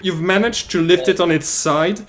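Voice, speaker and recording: A man narrating as game master of a tabletop game, loose and relaxed, with many fillers. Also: high voice, normal speed.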